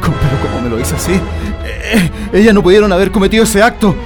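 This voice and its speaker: A man's voice: high voice, lively intonation, fast talker. An actor with a Chilean accent playing a defiant male political prisoner in a radio drama, earnest and emphatic. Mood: shocked disbelief. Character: defiant male political prisoner under a dictatorship, grieving and organizing resistance. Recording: music bed under the voice.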